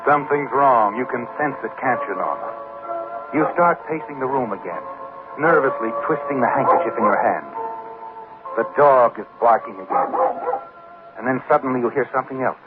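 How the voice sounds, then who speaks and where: An actor playing an ominous, knowing narrator of 1940s radio suspense, insinuating and pausing for drama. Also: male voice, mid-range voice, loud music behind the voice, close to an everyday voice. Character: ominous, knowing male narrator addressing the guilty. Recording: noisy old radio, narrow band.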